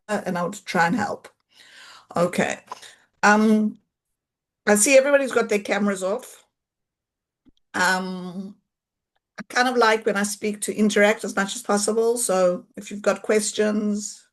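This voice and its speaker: South African woman, 50s, informal therapist presenting on a video call; chatty, loose, often restarts. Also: mid-range voice. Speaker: occupational therapist giving a talk.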